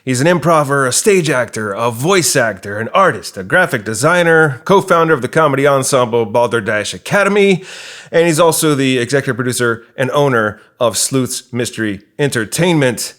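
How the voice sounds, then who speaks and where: A man's voice: medium pitch, animated, a little fast, a bit bright. A man hosting a podcast, reading introductions and putting questions to a guest in a presenter's conversational style. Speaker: podcast host. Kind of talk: scripted introduction and interview hosting.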